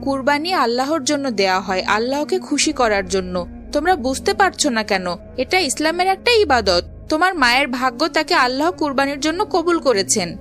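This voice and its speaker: Woman voicing a high-pitched earnest young boy. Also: expressive, normal speed, fairly bright tone, faint music behind. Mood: stern annoyance. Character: curious, stubborn young boy. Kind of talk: children's cartoon voice acting.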